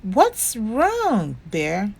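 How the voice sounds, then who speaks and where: Caring storybook woman, acted by a female reader: gentle, coaxing, very unhurried, animated pitch. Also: vocal fry, breathy edge, heavily acted. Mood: concerned. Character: caring woman looking after her little bear.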